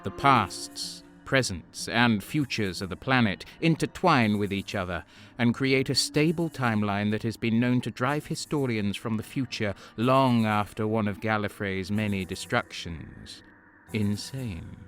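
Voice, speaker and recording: A solemn, all-knowing being from beyond time , played by a man, narrates an audio drama in a low voice. The delivery is grave and portentous, with scorn for lesser minds. Music plays behind the monologue. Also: measured pace, a bit bright. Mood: solemn, disdainful. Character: solemn, all-knowing transcendent male being chronicling the universe.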